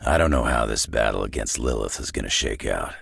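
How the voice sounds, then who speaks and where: Gruff old mutant loner from a video game, north american, super low raspy growl full of fry, sardonic tough guy. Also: brisk, a bit bright, no room sound, heavily acted. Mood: dry, gruff. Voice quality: gravelly, husky growl. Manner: dry, sardonic, clipped tough-guy delivery. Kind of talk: video game character voice lines.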